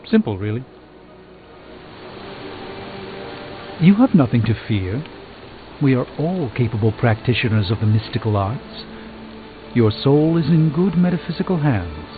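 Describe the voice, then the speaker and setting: A man playing a grandiose game sorcerer, sounding thin and phone-like with music behind. His fairly high voice sweeps widely as he lectures in elaborate, self-important phrases. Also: sing-song, moderate pace, lightly acted. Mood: lofty, patient. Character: pompous, theatrical, learned male sorcerer and mystic. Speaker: video game character actor. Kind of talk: theatrical video game dialogue.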